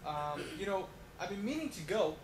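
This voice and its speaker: A man hosts a casual mock talk show, his voice sitting a little high. He rambles and pads every thought with fillers. The recording sounds roomy and echoey, with a loud hiss of noise underneath. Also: big pitch swings, moderate pace.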